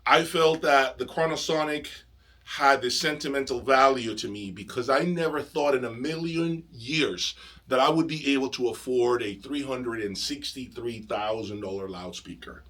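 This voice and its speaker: Bright, forceful man presenting a review. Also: mid-range voice, moderate pace. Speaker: audio review channel host. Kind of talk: enthusiastic product review presentation to camera.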